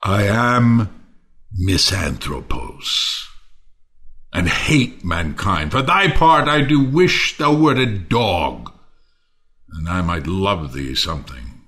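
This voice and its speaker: A man's voice: low-pitched, lively intonation, sharp tone, heavily acted. An actor playing a bitter man who hates mankind in a Shakespearean audio drama, declaiming scornfully. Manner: declamatory, scornful, drawn-out phrasing with sudden swoops.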